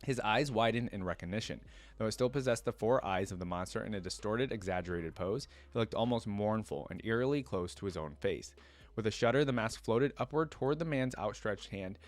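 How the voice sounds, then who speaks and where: A man's voice: lowish voice, animated, a little fast. A man hosting a reaction video, reading a fantasy story aloud and breaking off for casual comments.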